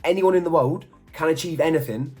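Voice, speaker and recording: Fast-talking, husky young guy. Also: a little high, darkish tone, soft background music. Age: 20s. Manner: chatty, self-mocking, jokey asides. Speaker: model and YouTuber. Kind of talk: casual Q&A vlog.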